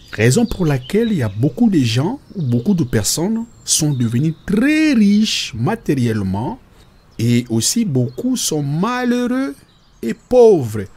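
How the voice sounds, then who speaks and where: Slow, expressive man playing a preacherly cartoon father. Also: on the high side, slightly rough, exaggerated voice. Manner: didactic, preacherly, deliberate. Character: devout father patiently teaching his children.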